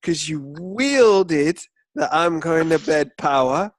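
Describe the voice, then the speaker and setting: A man's voice: fairly high pitch, lively intonation, slow, no echo. A man co-hosting a football podcast, telling stories in theatrical, mock-indignant, sweary banter. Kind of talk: comic storytelling banter.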